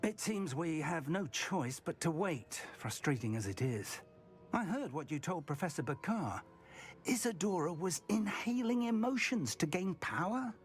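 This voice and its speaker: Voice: medium pitch, sing-song, measured pace, faint music behind. An actor playing a shrewd, composed professor in a fantasy game, articulate and dry. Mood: concerned, intrigued. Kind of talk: dramatic fantasy video game acting.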